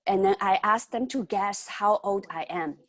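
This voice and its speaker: A woman's voice: mid-range voice, moderate pace. A woman in her fifties giving an online training talk as guest speaker, telling personal stories in a chatty way with non-native phrasing. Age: 50s.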